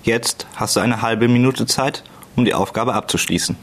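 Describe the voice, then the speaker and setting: A man reading calm, measured listening-exam instructions. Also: mid-range voice, slightly rough. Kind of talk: scripted instructions.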